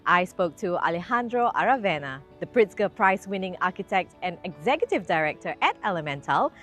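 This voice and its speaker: A woman reporter reading scripted narration for a television feature in a polished, clearly phrased way. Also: on the low side, very animated, a little fast, a bit bright, soft background music. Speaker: presenter and reporter.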